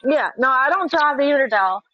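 A woman's voice: sits high, lively intonation, moderate pace, highs cut off. Flirty, teasing woman in her thirties. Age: 30s. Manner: flirty, teasing, uses pet names. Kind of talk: casual flirty chat.

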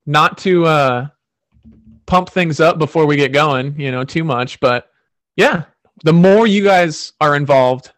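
A man's voice: on the high side, a bit bright. A man hosting a podcast, talking loosely with lots of fillers and restarts. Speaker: podcast host. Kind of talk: casual podcast chat.

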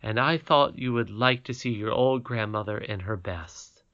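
A calm, wise and ancient great-great-grandmother from a bedtime storybook, read by a man in a voice close to his own. She speaks gently and reassures in a measured way. The sound is dull and lo-fi. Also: lowish voice, natural voice. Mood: tender.

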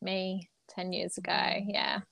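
A woman's voice: lowish voice, monotone, slow, creaky, fairly bright tone. A woman, chatting casually as a podcast guest, full of ums and likes. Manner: chatty, filler-heavy, laughs easily. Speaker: podcast guest, mother of two. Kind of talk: casual interview chat.

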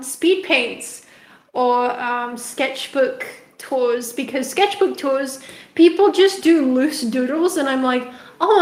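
A young woman from South Africa with an Australian accent, chatting casually as a podcast guest, giggling and rambling with lots of fillers. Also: high-pitched. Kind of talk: casual chat. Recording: echoey room, faint music behind.

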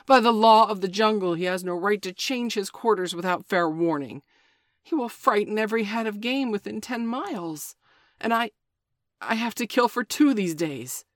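A woman voicing a proud male father wolf who speaks stiffly, curtly and loudly. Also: mid-range voice, animated, extremely slow, few pauses, breathy edge, dry recording, studio quality, pitched above the performer's own voice, heavily acted. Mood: angry. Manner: stiff, loud, curt.

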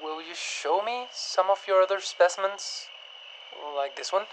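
A woman's voice: mid-range voice, unhurried, close to an everyday voice, poor audio. A woman playing a cautious, fascinated stranger in an audio drama, speaking politely and hesitantly with keen curiosity. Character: cautious, fascinated stranger studying found specimens. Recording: faint noise, night insects and frogs behind. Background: crickets, frogs, outdoor ambience.

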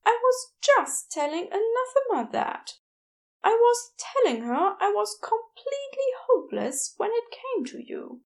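A woman voicing a gentle, awkward, apologetic male doctor in an audiobook, breathy, prim and self-deprecating. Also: very high-pitched, very animated, measured pace, pitched above the performer's own voice, exaggerated voice. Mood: embarrassed, earnest. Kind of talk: audiobook character dialogue.

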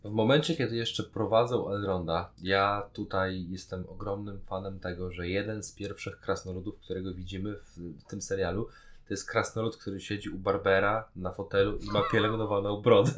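A man co-hosting a podcast, casually discussing a series in an expressive way, drawing out key words. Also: fairly low pitch, moderate pace. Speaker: podcast co-host. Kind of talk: casual review chat about a TV series.

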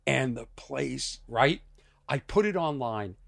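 A man from Massachusetts hosting a podcast and telling comic stories about himself in a loose, animated way. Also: mid-range voice, slow pace, creaky, dry recording, studio quality. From Lexington, Massachusetts. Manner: animated, self-mocking storyteller. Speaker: podcast host. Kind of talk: casual comedic chat.

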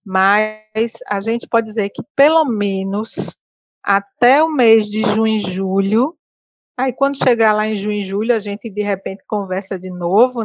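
A woman's voice: mid-range voice, no room sound. Woman guest, earnest advice, dull dry audio. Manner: earnest, advising, repeats and restarts. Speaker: health guest. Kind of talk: casual interview talk.

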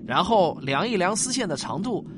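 A man's voice: sits high, very animated, normal speed, background noise. An actor playing a patient father in a science fiction radio drama, explaining things to a child in a coaxing, teacherly way. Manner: coaxing, teacherly, many softening particles.

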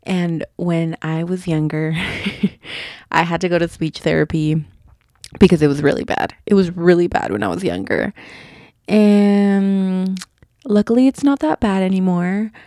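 A woman's voice: on the low side, warm tone, dry recording, studio quality. A young woman from Utah hosting her own podcast, talking casually with a slight lisp, rambling with many ums and restarts. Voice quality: slight lisp on fast S sounds. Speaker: podcast host. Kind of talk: casual solo podcast chat.

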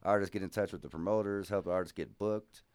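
A man's voice: deep voice, fairly even intonation, darkish tone, clear, resonant tone, dry recording. A man hosting a casual radio interview, easygoing and encouraging, keeping the talk moving. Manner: easygoing, encouraging, quick backchannels. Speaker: radio host.

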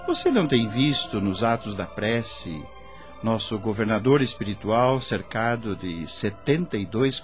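An actor with a Brazilian accent playing a kindly, earnest spirit guide in a radio drama, explaining things in a measured, reverent way. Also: male voice, medium pitch, close to an everyday voice. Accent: Brazilian Portuguese. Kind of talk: Brazilian radio drama acting, didactic and declamatory. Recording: radio drama with music bed. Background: faint music.

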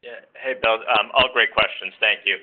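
A man's voice: on the low side, steady tone, normal speed. A male company executive answering an analyst on an earnings call, heard over a phone line.

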